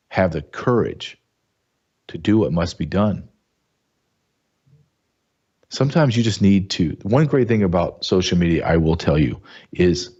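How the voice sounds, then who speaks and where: Deep husky guy hosting a talk show, emphatic, keeps restarting his words, kinda muffled lo-fi sound. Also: a little mellow. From American South. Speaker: show host. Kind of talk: casual commentary monologue.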